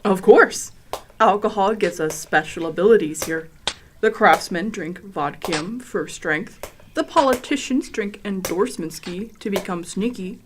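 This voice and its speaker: Woman playing an earnest alien guide, mid-pitched. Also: a little slow, noisy background, lightly acted. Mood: matter-of-fact. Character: welcoming, easily tempted female alien guide of a water-cult people. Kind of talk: comedic sci-fi radio drama acting.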